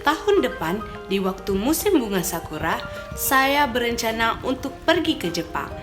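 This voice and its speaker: A young woman from Indonesia reading a calm, measured documentary voice-over. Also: a little high. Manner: calm, measured, plain delivery. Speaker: documentary narrator. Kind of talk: scripted voice-over narration. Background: music.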